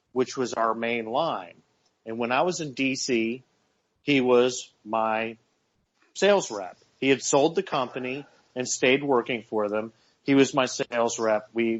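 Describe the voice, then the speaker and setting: A man in his forties talks on a casual radio show at an average pitch, earnest and often doubling his words as he builds a point. The audio is a bit dull and lo-fi. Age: 40s. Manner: earnest, repeats words while gathering thoughts. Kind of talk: casual talk radio chat.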